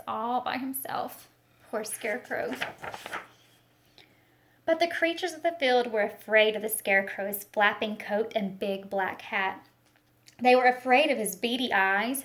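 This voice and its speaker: High-voiced woman reading a children's story aloud. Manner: expressive storytelling, talks directly to young listeners. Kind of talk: children's read-aloud with teacher-like asides and questions.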